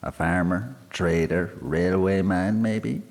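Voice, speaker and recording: A man's voice: deep voice, takes it slowly, a little mellow, voice pushed up. A man voicing a pompous forties politician. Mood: hearty. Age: 40s. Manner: pompous, boastful, self-satisfied. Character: proud middle-aged male politician, barrister. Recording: long hall reverb.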